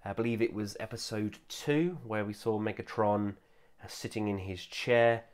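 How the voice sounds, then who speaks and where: A man's voice: on the low side, normal speed. A man with a nasal voice, recovering from a cold, giving an enthusiastic, chatty toy review with frequent "uh" fillers. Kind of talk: casual product review.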